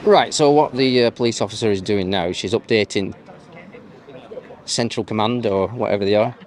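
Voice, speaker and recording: A man giving running commentary as he films a police encounter out on a street, quick and teasing in manner. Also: fairly low pitch. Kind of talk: live running commentary while filming. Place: outdoors on a busy street.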